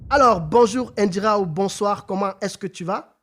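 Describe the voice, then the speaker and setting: A man's voice: sits high, moderate pace, fairly bright tone. A man hosting a casual podcast interview, often stumbling over repeated words and filler phrases, now and then rising into an emphatic rallying tone. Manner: hesitant, repeats words and says "en fait" often. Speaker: podcast host.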